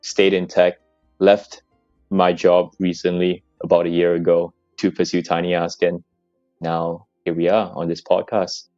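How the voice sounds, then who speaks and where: A man's voice: deep voice, completely flat delivery, a little slow, darkish tone, no echo. A young man from Malaysia, a startup co-founder, telling his story casually as an interview guest. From Kuala Lumpur, Malaysia. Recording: dull lo-fi mic, faint music.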